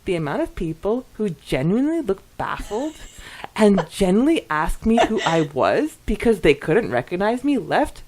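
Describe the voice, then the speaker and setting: A woman co-hosting a podcast, chatting casually in a giggly, expressive way as she reacts to listener comments. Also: a little high. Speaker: podcast co-host.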